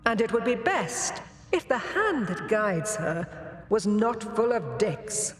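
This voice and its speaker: Old alien ruler, stern, solemn, zealous, unhurried, grave authority, long echo, game cutscene. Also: medium pitch, sing-song, slight background noise. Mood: grave, urgent. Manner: measured, grave, commanding. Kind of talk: scripted dramatic game cutscene dialogue. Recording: long hall reverb over loud music.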